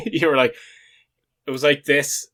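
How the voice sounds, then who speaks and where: A man co-hosting a podcast, chatting loosely with loud, playful banter and frequent laughter. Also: a little high, fairly even intonation, measured pace. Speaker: podcast co-host. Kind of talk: casual chat.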